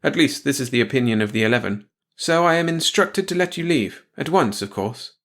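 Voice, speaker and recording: A stern young woman soldier, played by a man in a sci-fi audiobook. She is curt and commanding, full of cool contempt, and pitched up. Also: animated, a little fast, a bit bright.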